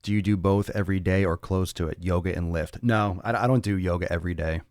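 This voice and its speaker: Fitness podcast host, male, very low voice, blunt and profane, dry close mic. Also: fairly even intonation, quick pace, a little mellow, no room sound. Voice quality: raspy on some days. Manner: blunt and profane, rambling with restarts. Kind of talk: casual solo talk answering listener chat.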